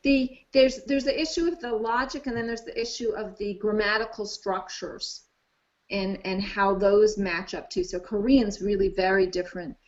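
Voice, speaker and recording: A woman's voice: mid-range voice, fairly even intonation, moderate pace. A woman discussing languages as an expert guest, enthusiastic and thinking aloud with frequent restarts. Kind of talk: casual discussion. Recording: dull lo-fi live stream audio.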